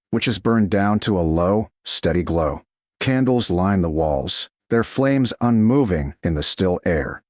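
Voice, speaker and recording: Deep-voiced man narrating with lively intonation. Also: no echo, studio quality. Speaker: audiobook narrator. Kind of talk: scripted romance narration, slow-burn.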